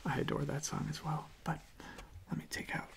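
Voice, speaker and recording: A soft-spoken ASMR creator chatting casually in a hushed, gentle, halting voice that often drops to a breathy half-whisper, close to the microphone. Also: medium pitch, little pitch movement. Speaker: ASMR video creator, host.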